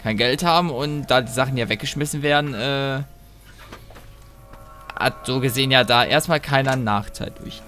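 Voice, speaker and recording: A man giving casual let's play commentary, thinking aloud in a rambling, halting way and breaking off mid-thought. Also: mid-range voice, bright, forceful tone, faint music behind.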